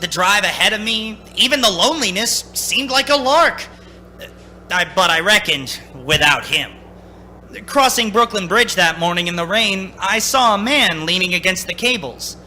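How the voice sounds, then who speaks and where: A man's voice: high voice, animated, moderate pace, bright, forceful tone, a little hiss or hum, full character voice. An actor playing a haunted man of thirty-six who tells his story in dramatic old-time radio style. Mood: uneasy recollection. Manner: urgent, dramatic first-person narrating.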